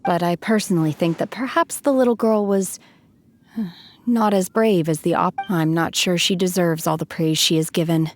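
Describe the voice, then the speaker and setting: A calm, aloof young woman, voiced by a woman, speaking in a low, quiet voice with a reserved, thoughtful air. Faint game music sits behind her. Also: moderate pace, lightly acted. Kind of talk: video game voice acting.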